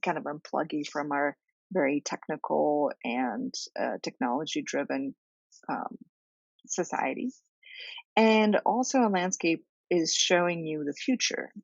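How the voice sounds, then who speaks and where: A woman in her thirties from Bulgaria, an artist answering interview questions thoughtfully with many pauses and fillers. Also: low-pitched, creaky, no echo, lo-fi sound. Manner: thoughtful, many ums and restarts. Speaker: visual artist and art instructor.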